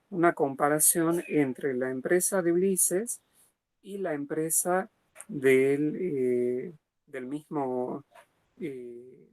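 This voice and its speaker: A man giving an academic lecture, hesitant, with many pauses and fillers, slow and deliberate. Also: a little high, no room sound.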